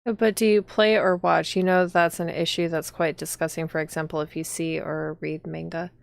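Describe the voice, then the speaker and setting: Female streamer, lowish smooth voice, dry close sound, casual chat with viewers. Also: little pitch movement, normal speed, no echo. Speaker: streamer and host.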